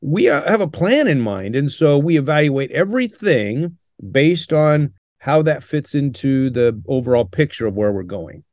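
A man's voice: a little high, expressive, darkish tone, no echo. Older man, earnest, dry close sound. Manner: earnest, measured, with frequent "uh" pauses. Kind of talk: interview answers, teaching tone.

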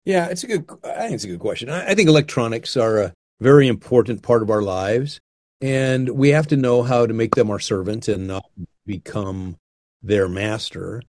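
Older man, mid-pitched, earnest and unhurried, dry close mic; counsel-style speaker. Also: darkish tone, no room sound. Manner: earnest, unhurried, with frequent restarts. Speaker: guest speaker on marriage. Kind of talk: reflective conversational talk.

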